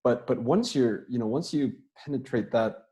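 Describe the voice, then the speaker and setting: A young man, a soccer player, answering interview questions over a video call in a relaxed, rambling way, often repeating words as he talks. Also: medium pitch, normal speed. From United States.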